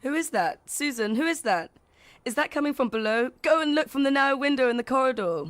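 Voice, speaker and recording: A woman's voice: high voice, expressive, a little fast. A woman playing a restless, vain schoolgirl who loves to dance, with theatrical, eager, impatient delivery in a literary audio drama.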